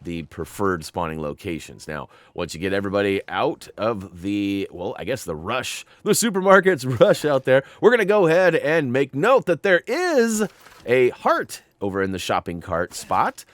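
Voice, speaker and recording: A man talks through a video game walkthrough, his pitch bouncing up and down as he chats along in an upbeat way. His voice comes out stuffy and nasal from irritated sinuses, and he keeps dropping playful little asides as he goes. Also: sing-song, moderate pace, no room sound. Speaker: gaming YouTuber.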